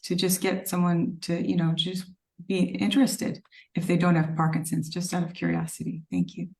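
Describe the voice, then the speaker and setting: Lower-voiced woman asking from afar. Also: monotone, normal speed, gravelly, a little mellow. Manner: friendly, rambling. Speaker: audience member asking a question. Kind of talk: casual question. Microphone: video call. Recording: distant from the mic.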